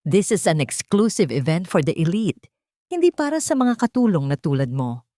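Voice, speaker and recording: A woman voicing a haughty, scornful elderly rich matron who scolds loudly and slowly. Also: lowish voice, big pitch swings, no echo, studio quality. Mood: contemptuous. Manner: sneering, loud, slow scolding.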